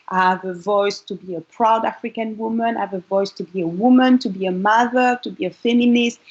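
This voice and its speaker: A woman's voice: a little high, dull audio. A woman from Congo, a model and charity founder, speaking earnestly in a casual interview with frequent restarts.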